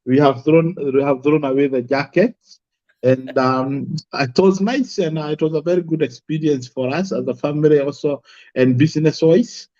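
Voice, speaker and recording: A man's voice: a little high, moderate pace, warm tone, no echo. Kenyan guy on a video call, easygoing, repeats himself, dry muffled sound. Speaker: guest from an apple farm. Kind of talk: casual interview talk.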